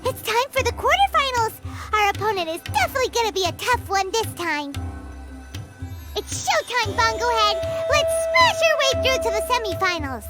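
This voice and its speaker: A tiny, bossy, cheerful game sprite, squeaky and extremely high. Her lines swing expressively up and down, full of exclamation, over prominent music. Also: very animated, normal speed. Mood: eager. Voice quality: squeaky, childlike. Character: small excitable childlike female companion sprite, bossy and cheerful. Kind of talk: video game voice acting.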